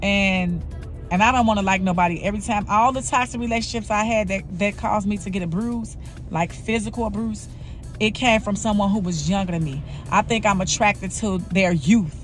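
Woman in her 40s, earnest personal vlogger, soft music under her voice. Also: medium pitch, moderate pace. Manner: earnest, confessional, repeats herself. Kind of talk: candid personal vlog monologue.

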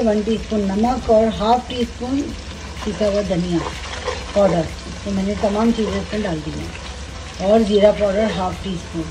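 A woman's voice: mid-range voice, background noise. A woman with a Pakistani Urdu accent hosting a home cooking video, chatting casually as she explains each step in her kitchen. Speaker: cooking channel host. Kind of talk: casual cooking demonstration voice-over. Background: background music.

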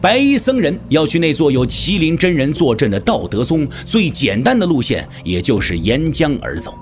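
A male narrator tells a martial-arts tale in theatrical fashion. His voice is a little higher than average and swings widely in pitch, with faint music underneath. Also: sing-song, moderate pace. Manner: theatrical storytelling delivery. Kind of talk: dramatic scripted narration of a martial-arts novel.

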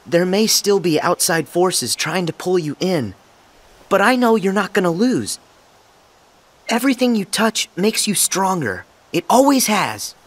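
A man's voice: sits high, sing-song, a little slow, heavily acted. A man playing a cheerful, earnest teenage boy hero in a video game, with an eager, boyish delivery. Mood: earnest, upbeat. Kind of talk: English video game voice acting.

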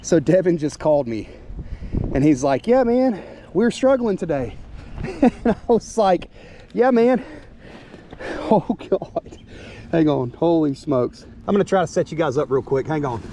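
A young man vlogging while fishing outdoors by the water, excitable and quick to laugh. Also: on the high side, slight background noise. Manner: excitable, shouting and laughing at catches.

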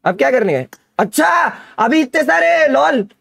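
A man's voice: extremely high pitch, moderate pace, creaky, no room sound, clean studio sound. A man in his twenties with an Indian accent, chatting casually on a gaming livestream, repeating himself in slangy hype. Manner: repetitive, animated slangy hype. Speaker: gaming streamer. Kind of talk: casual excited livestream chat. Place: indoor streaming setup at a desk.

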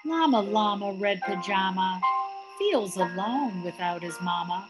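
A middle-aged to older woman reading a children's picture book aloud as a grandmother, expressively, with drawn-out emphasis on key words. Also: medium pitch, expressive. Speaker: grandmother reading a bedtime story. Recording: music playing behind the voice. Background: music.

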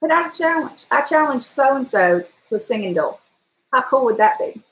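A middle-aged woman talks to her live-stream viewers in a chatty, animated way, and her voice sits a little high. She has a powerful, trained singer's voice. The sound comes from a webcam in a home kitchen, where the room adds a noticeable echo. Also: moderate pace. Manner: chatty and animated, gesturing, breaks into song. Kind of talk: casual live-stream chat. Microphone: webcam at desk distance.

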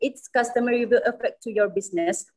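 A woman's voice: a little high, fast. Young Malaysian woman moderating a panel. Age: young adult. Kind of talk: reading questions and introduction. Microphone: laptop microphone on video call.

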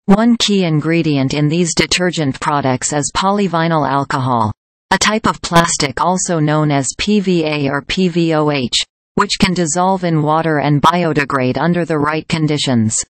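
A woman narrating a scripted news explainer in an even, measured read. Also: deep voice, dry recording. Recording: voice-over with loud music bed.

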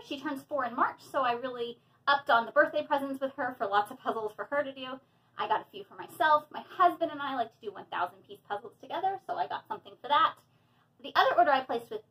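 A woman in her thirties chatting casually and excitedly while unboxing things in a small room at home, with frequent fillers. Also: high-pitched, low-quality recording. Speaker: hobby vlogger.

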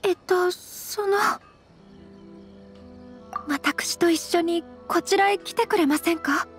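High-voiced, shy young noblewoman. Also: slow pace, heavily acted. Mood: flustered, apologetic. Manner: very polite, formal, hesitant. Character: refined young noblewoman, shy, gentle, earnest. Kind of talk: anime game voice acting. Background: soft background music.

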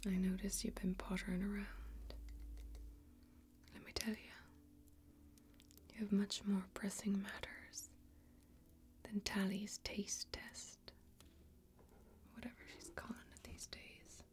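A young woman playing a tough, watchful female warrior in a fantasy ASMR role-play, whispering breathily and deliberately, with long pauses. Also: medium pitch, level tone, a little mellow, close to an everyday voice. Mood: wry, secretive. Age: young adult. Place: small indoor bar set with bottles. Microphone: close ASMR microphone.

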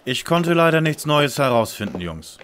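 A man's voice: on the high side, big pitch swings, moderate pace, fairly bright tone, slight background noise. A man playing an earnest, methodical young detective leader with formal, precise speech in a radio play parody. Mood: focused.

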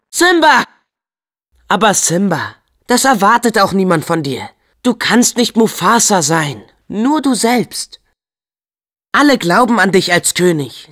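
Teenage boy hero for a game dub: earnest, plucky, very expressive pitch, easy pace. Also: big-room sound, full character voice. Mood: exasperated, urgent. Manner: eager, expressive, youthful delivery. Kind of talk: German video game dub acting.

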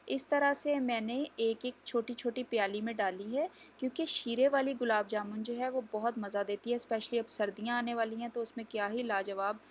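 A woman's voice: on the high side, a little hiss or hum. A woman hosting a home cooking tutorial, explaining each step to viewers in a chatty, instructive way. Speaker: cooking channel host. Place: home kitchen.

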